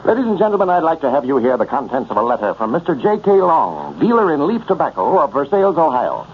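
A man's voice: fairly high pitch, wildly swooping pitch, fast, husky. A man with an American accent announcing and reading sponsor messages in 1930s radio style. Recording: muffled, noisy 1930s radio recording.

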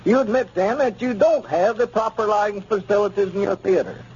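An actor playing a formal, pressing small-town lawyer in a 1930s radio comedy, questioning deliberately. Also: male voice, high voice, a little mellow, a little hiss or hum. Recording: muffled 1930s radio recording.

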